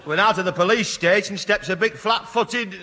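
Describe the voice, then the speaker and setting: A man's voice: sits high, normal speed, sharp tone. An actor playing a grumpy, blustering middle-aged man, easily riled, in a radio sitcom. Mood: aggrieved. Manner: blustering, exasperated. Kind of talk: British radio sitcom acting. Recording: echoey hall, old radio recording.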